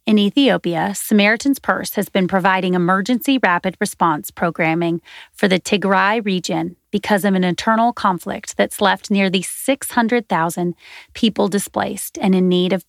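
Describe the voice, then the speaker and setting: Woman podcast host with a gravelly edge. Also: mid-range voice, moderate pace, no room sound, studio quality. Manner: earnest, steady reading with faith language. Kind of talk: scripted podcast narration.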